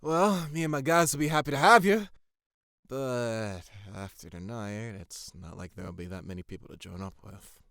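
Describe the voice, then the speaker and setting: A man's voice: medium pitch, moderate pace, fairly bright tone, no room sound, studio quality, natural voice. An actor playing a passionate, blunt young male rebel leader in an audio drama. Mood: bitter. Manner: impassioned, blunt, swearing.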